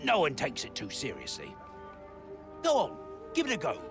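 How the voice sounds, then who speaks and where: Easygoing townsman, very animated pitch. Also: moderate pace. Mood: friendly. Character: easygoing local townsman explaining a dice game. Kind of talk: conversational video game acting. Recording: music behind.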